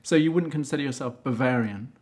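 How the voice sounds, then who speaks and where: A man hosting a casual interview, chatty and quick to jump in with comments and laughs. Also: mid-range voice. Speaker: interviewer and show host.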